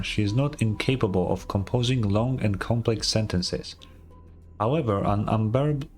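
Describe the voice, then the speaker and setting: A man's voice: fairly low pitch, darkish tone. A man doing casual, sweary commentary as he streams a game, sometimes reading its text aloud. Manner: sweary, offhand.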